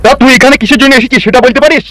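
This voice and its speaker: A man's voice: very high-pitched, racing, over loud music. A man voicing a furious, foul-mouthed middle-aged village father in a comic cartoon, ranting. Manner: hectoring, abusive rant. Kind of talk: comic Bengali cartoon dubbing.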